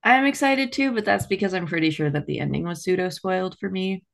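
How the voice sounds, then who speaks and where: A woman's voice: medium pitch, brisk, gravelly. A woman co-hosting a book podcast, chatting casually and wryly about her opinions, with lots of "like". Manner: informal, wry, frequent "like".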